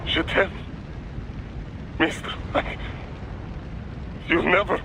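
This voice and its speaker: Desperate male lover and comrade, Eastern European accent, high creaky voice, noisy game scene. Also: steady tone, slow pace, exaggerated voice. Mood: grief-stricken. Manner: urgent, pleading. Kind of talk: video game cutscene acting.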